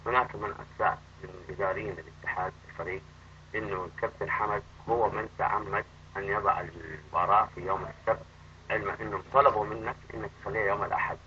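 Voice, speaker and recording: A man hosting a live chat-room interview, asking questions eagerly and quickly. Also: fairly low pitch, fairly even intonation, pushed and bright. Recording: dull lo-fi audio with faint noise.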